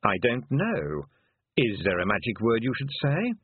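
A resentful talking toad put on by a male narrator grumbles drily in a storybook. The voice is husky, with lively rises and falls. The recording sounds phone-like. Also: medium pitch, measured pace, dry recording. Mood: dryly grumpy. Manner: dry, resentful.

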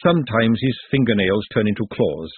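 A man's voice: fairly high pitch, sing-song, brisk, husky, dry recording, voice pushed up, exaggerated voice. A man voicing a blunt, protective, fiery woman cook in an audiobook, plain-spoken and earnest. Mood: earnest, defensive. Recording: narrow phone-like sound, very dry.

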